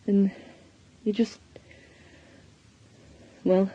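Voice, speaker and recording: Husky, subdued Northern English woman. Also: medium pitch, little pitch movement, warm tone, dull audio. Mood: subdued, tender. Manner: halting, reflective. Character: shaken, subdued woman quietly recalling a family crisis. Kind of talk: intimate monologue in radio drama.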